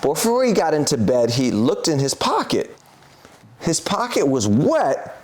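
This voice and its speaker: Slow, animated man reading to children. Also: on the high side. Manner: expressive, playful, drawn-out words and exclamations. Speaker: co-host reading a children's picture book. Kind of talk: playful read-aloud addressed to children.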